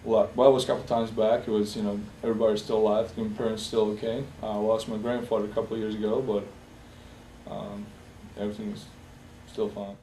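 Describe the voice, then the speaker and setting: A young man from Bosnia talks in a personal interview, pausing often on fillers and restarting his sentences. His voice is mellow and low-key. He sits at a distance from the mic in an echoey room with a faint hiss behind him. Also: flat delivery. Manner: halting, many fillers and restarts.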